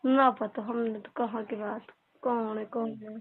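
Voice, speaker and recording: High-pitched Mewati-accented woman on the phone. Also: level tone, a little slow, crowd noise. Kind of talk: casual private phone chat. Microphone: phone call recording. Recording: tinny narrow phone line.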